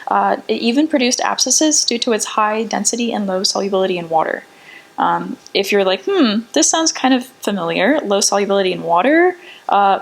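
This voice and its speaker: A woman's voice: medium pitch, gravelly. A young woman co-hosting a casual educational podcast, reading out facts and tripping over hard drug names. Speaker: podcast co-host.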